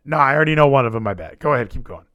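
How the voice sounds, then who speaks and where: A man talking casually and animatedly as a podcast co-host, full of quick asides. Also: medium pitch, animated, pushed and bright, no echo, highs cut off. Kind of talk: casual wrestling chat.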